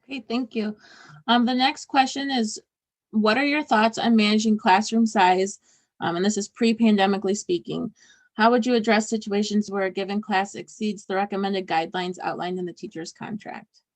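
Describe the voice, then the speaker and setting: A woman's voice: mid-range voice, fairly even intonation, normal speed. A woman moderating the Q&A, reading out the next question evenly.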